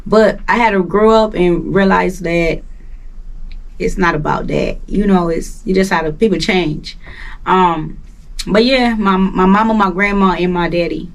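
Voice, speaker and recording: A woman's voice: fairly low pitch, unhurried, far from the mic. Slangy young Jamaican woman, off-mic. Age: young adult. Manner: laid-back, slangy, rambling with many fillers. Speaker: guest musician. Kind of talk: casual interview talk.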